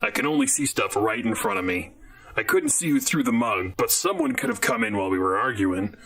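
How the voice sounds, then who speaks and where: A man playing a blunt, bulky robot coffee maker in an audio drama, grumbling with deadpan sarcasm. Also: medium pitch, a little fast, creaky, full character voice. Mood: dry, evasive.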